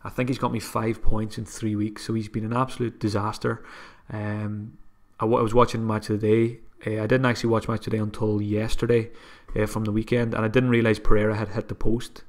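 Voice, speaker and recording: Flat-voiced man with a cold. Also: on the low side, a little fast, few pauses. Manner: conversational, self-deprecating, frequent restarts. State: sniffly with a cold. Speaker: podcast host. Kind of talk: solo fantasy football podcast.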